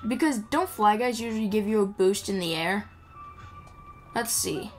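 A woman's voice: mid-range voice, expressive, normal speed. Playful, rambling woman gamer over game music. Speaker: gamer playing and commenting. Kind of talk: casual gameplay commentary. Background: video game music.

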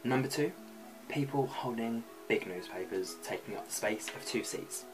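A man's voice: mid-range voice, normal speed. A young man hosting a comedic numbered list to camera in a small bedroom. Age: young adult. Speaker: video host. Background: music.

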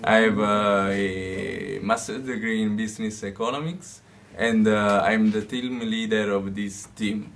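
A man in his twenties with a lowish voice speaks slowly as team leader, breaking into shy laughs. He is seated in a small office. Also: little pitch movement, vocal fry, a bit bright. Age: 20s. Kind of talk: casual self-introduction. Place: small office with green walls.

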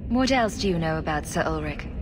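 An actress playing a diplomatic young heroine in a fantasy game, speaking in a composed, reasoning way. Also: female voice, sits low, animated, fairly quick, background noise. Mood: calm, concerned. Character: calm, diplomatic heroine, young adult woman.

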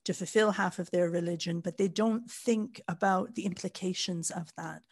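A woman's voice: lowish voice, normal speed, breathy edge. A woman with a Canadian accent co-hosting a podcast, talking in long, thoughtful explanations with some ums. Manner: thoughtful, talks in long explanatory sentences with fillers. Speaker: podcast co-host. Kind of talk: casual podcast chat.

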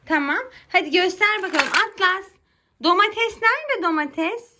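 A woman's voice: extremely high pitch, animated, a little slow, pushed and bright. A woman chatting casually and playfully with her small son as his mother, coaxing and encouraging him with simple questions. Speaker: mother playing pretend with her young son.

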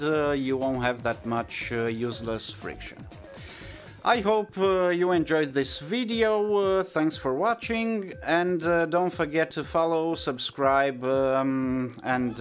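A man in his thirties who is not a native English speaker, explaining things hands-on as a video host, in a halting, hesitant way with frequent pauses. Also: mid-range voice. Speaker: host of vintage audio videos. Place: small indoor room at home.

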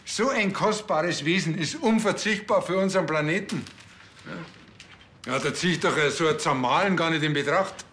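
An actor playing an earnest, bumbling Bavarian policeman in a comedy series, speaking haltingly with a Bavarian accent. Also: a little high, a bit bright, slight background noise. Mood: earnest, rambling. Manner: halting, hesitant, with many ers. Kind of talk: naturalistic comedic screen acting.

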